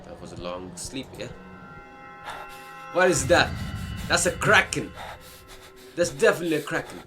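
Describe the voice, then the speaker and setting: A man's voice: fairly high pitch, sing-song, moderate pace, over music. A man giving casual playthrough commentary, thinking aloud and talking back to the game as he goes. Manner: reactive, muttering to himself and answering the game. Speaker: gaming video host. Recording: clearly noisy background.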